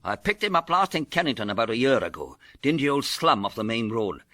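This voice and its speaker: A man plays a blustering police detective in a tongue-in-cheek radio mystery. His voice is bright and animated, recorded very dry. He sounds gruffly exclamatory and long-suffering. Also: medium pitch, fairly quick, dry recording. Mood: curious, surprised. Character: exasperated, blustering male police detective and reluctant friend.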